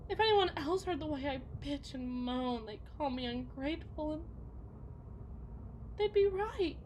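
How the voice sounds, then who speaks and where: Woman acting a high-voiced, bitter, melodramatic unhappy wife. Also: expressive, a little mellow, slight background noise. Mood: despairing, self-reproachful. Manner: theatrical, rambling, halting lament. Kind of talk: ASMR roleplay acting.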